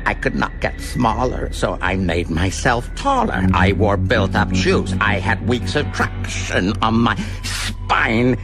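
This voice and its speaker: An actor playing a cold, boastful, menacing criminal mastermind in a radio drama, with theatrical, deliberate delivery. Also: male voice, lowish voice, big pitch swings, over music, exaggerated voice. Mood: proud, chilling. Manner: slow, deliberate, theatrical, grandiose.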